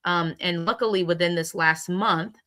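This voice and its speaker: Bright, forceful American woman. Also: on the low side, normal speed. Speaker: co-host. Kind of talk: casual chat, candid personal reflection.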